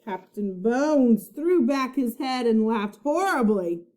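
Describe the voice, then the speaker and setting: A woman reading a children's storybook aloud like a teacher, with expressive, dramatic narration. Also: on the high side, slow pace.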